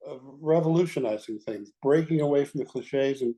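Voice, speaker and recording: Older man with a mellow voice. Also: medium pitch, normal speed, low-quality recording. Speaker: guest, veteran radio executive. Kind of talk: reminiscing interview talk.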